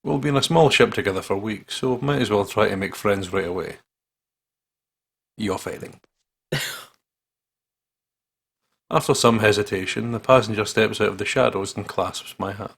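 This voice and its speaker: A man's voice: lowish voice, moderate pace, creaky, pauses often, a bit bright. A Scottish man co-hosting a game playthrough, reading text aloud and bantering with irreverent swearing. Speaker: gaming channel co-host.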